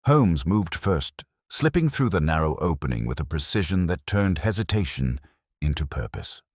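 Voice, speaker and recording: A man reading a scripted first-person mystery story as an audiobook narrator, in a measured, solemn, literary manner. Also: a bass voice, very animated, a little mellow, no echo, clean studio sound.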